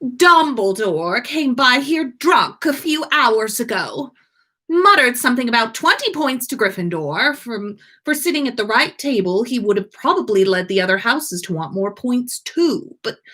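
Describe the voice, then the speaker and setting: A woman's voice: a little high, very animated, a little slow, fairly bright tone, pitched above the performer's own voice, exaggerated voice. A woman voicing a sulky, haughty teenage wizard boy who grumbles in snarky exasperation, in a story read-aloud. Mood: grumbling. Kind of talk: animated fan fiction read-aloud.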